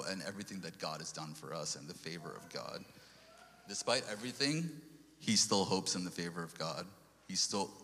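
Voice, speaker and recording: A man's voice: sits low, bright, forceful tone, long reverb tail, a little hiss or hum. A man from Sri Lanka giving an earnest church testimony, quoting scripture and stumbling over restarts. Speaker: lay speaker giving a testimony.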